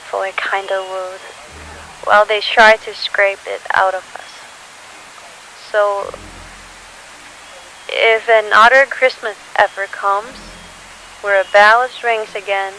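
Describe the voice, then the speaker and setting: A woman playing a weary, sorrowful teenager hiding in post-apocalyptic ruins, speaking a halting, solemn audio diary. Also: mid-range voice, sharp tone, rough recording. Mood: quietly defiant. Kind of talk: scripted audio diary monologue. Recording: hissy recording with faint noise.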